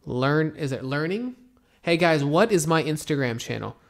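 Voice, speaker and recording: An American man from Florida, an English teacher, reads viewer questions aloud and answers them in a relaxed, rambling way with many pauses. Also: fairly high pitch, gravelly. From Florida, USA. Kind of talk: casual Q&A, reading comments aloud.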